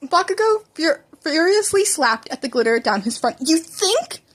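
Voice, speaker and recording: High-voiced woman reading fan fiction. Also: big pitch swings, normal speed. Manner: informal, stumbles and rereads words. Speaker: podfic reader. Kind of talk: reading fan fiction aloud, with character dialogue.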